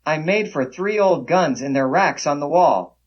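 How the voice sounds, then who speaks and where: A man's voice: on the high side, animated, moderate pace. A man reading as a solemn frontier memoirist, in a formal, old-fashioned storytelling manner, for a history narration. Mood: solemn, grave. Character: man recounting his frightening boyhood frontier ordeal, solemn memoirist. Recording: dull, band-limited sound.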